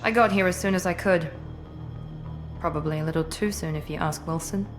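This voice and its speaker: Game role: actress as a composed, lower-voiced woman agent, dry and condescending, music bed behind. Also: fairly quick, natural voice. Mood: cool. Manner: cool, dry, faintly condescending. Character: cool, clipped woman officer, confident and cold. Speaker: Cerberus project director. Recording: game audio with music score.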